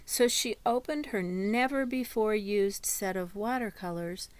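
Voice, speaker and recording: A woman reading a children's picture book aloud as a storyteller, in a deliberate manner. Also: medium pitch. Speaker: storybook reader.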